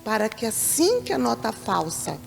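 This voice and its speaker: A Brazilian woman speaking with a Brazilian Portuguese accent in a church talk-show conversation, thoughtful and halting, often repeating words as she searches for them. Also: on the high side, huge pitch swings, gravelly, long reverb tail, faint music behind. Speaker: deaconess.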